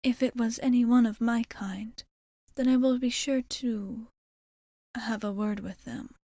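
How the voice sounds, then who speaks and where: A woman voicing a composed, protective vampire bartender in a soft, formal ASMR roleplay, half-whispered close to the microphone. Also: on the high side, fairly even intonation, no room sound. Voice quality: soft, breathy, often near a whisper. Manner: formal, measured, hesitant wording. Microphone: close microphone, dry sound.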